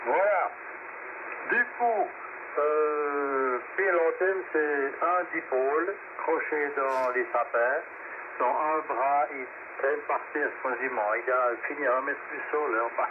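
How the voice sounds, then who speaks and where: A man's voice: a little high, slow pace. A man, an amateur radio operator, chatting casually over the air and peppering his talk with tag words. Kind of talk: casual radio contact chat. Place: radio transmission with static behind. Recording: very noisy narrowband shortwave radio link.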